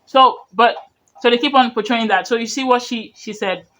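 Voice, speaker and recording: High-voiced man. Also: normal speed, pushed and bright, slight background noise. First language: Yoruba. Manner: rambling, earnest, full of "you know" and restarts. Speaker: media commentator. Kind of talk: reaction commentary on video clips.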